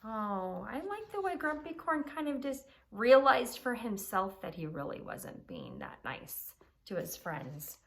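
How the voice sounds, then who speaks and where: A woman's voice: fairly high pitch, huge pitch swings, a little slow, hardly any pauses, a bit bright. A woman reading a children's story aloud expressively and explaining it simply as a teacher would.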